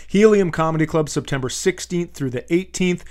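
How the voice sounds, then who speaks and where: A man's voice: on the high side, moderate pace, a little mellow. Casual, joking American man hosting a podcast. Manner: joking, rambling, casually profane. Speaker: comedian and podcast host.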